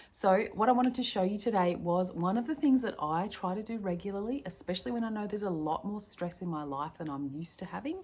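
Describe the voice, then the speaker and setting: A woman stress coach leading a breathing lesson in a gentle, chatty way, her voice croaky from a cold. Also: fairly low pitch. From Australia. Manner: gentle, chatty, slows down to calm. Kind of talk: guided breathing lesson to camera.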